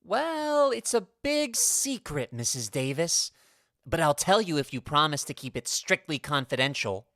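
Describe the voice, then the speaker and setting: A woman's voice: sits low, sing-song, measured pace, a bit bright, no room sound, studio quality, heavily acted. A woman voicing an earnest, melodramatic teenage schoolboy who declaims and confides, in vintage radio comedy style. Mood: conspiratorial, eager. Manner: conspiratorial, grandly declaiming.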